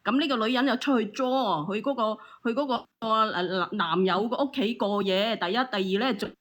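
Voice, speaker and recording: Woman panelist. Medium pitch, assertive explainer in a debate, restarts her points. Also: moderate pace, fairly bright tone.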